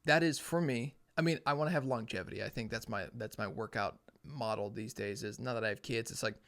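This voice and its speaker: A man's voice: mid-range voice, fairly quick, a bit bright. A young man hosting a podcast, talking alone in a casual, earnest, plainspoken way, thinking aloud. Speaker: podcast host. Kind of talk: casual solo talk.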